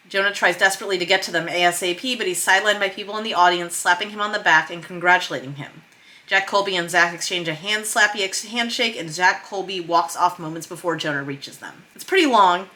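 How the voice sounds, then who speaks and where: A woman's voice: fairly low pitch, pushed and bright. A woman hosting a writing tutorial, explaining conversationally and reading excerpts aloud, leaning on key words for emphasis. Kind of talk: explanatory screen-share lesson, reading script excerpts aloud.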